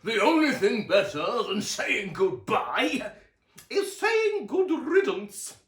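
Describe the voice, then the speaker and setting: A man voicing a gleeful, bossy aunt with loud, drawn-out theatrical exclamations for a children's storybook. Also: very high voice, very animated, echoey room, pitched above the performer's own voice, heavily acted.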